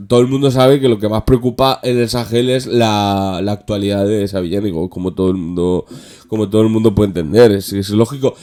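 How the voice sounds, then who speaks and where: A man's voice: medium pitch, darkish tone. Sarcastic Spanish man chatting about politics. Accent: Spanish from Spain. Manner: sarcastic, joking. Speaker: podcast host. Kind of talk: casual political chat.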